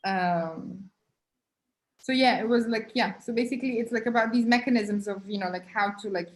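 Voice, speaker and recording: A woman artist telling a story in a casual conversation, halting often with fillers, over a video call. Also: a little high, rough recording. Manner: halting, many "you know, like" fillers. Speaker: guest artist.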